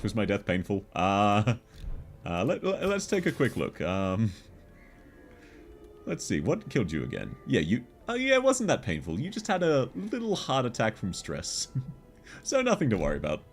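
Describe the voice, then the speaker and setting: A man's voice: medium pitch, wildly swooping pitch, normal speed, pauses often, soft background music. A man with an Australian accent, chatting casually with viewers on a game livestream, laughing often as he answers chat. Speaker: game streamer.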